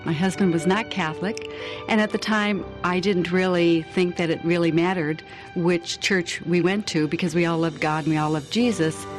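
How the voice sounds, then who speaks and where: A woman's voice: lowish voice, steady tone, moderate pace, faint music behind, rough recording. A woman telling her story in a sincere, reflective way for a promotional spot. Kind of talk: testimonial in a promo.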